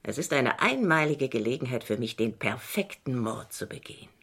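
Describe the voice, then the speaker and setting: A woman playing a jealous, scheming wife who toys mockingly with her husband in a German radio crime play, theatrical and husky. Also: very deep voice, expressive, moderate pace, a bit bright, full character voice. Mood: menacing, amused. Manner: taunting, theatrical, teasing.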